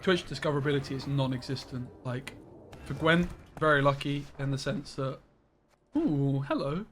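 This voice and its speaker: A man's voice: medium pitch, lively intonation, normal speed, a little hiss or hum. A young man chatting casually with viewers on a live game stream from his bedroom, rambling and greeting people by name.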